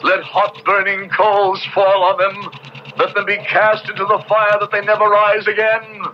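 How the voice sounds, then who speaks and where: An actor playing a fanatical, merciless Puritan judge in an old radio drama, declaiming in pompous, archaic preacher's speech. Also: male voice, sits high, monotone, unhurried. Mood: solemn prayer. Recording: noisy, dull old radio drama recording.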